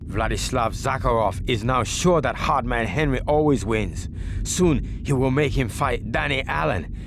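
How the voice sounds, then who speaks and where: Menacing gang boss, mid-pitched, formal, commanding, measured, heavy ambient noise. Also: male voice, creaky. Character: stern, menacing male crime gang boss. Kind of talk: dramatic video game cutscene acting. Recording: loud ambient and vehicle noise.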